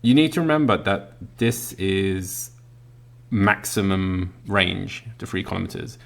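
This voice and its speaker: A man reviewing a product in a casual hands-on demonstration, explaining it step by step in a halting way. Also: deep voice, vocal fry, fairly bright tone. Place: indoors at a work desk.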